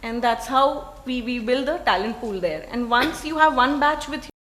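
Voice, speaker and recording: A woman's voice: fairly high pitch, normal speed, a bit bright, echoey room. A woman, speaking as a retail executive on a panel, earnest and explanatory with frequent fillers. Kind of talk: panel discussion.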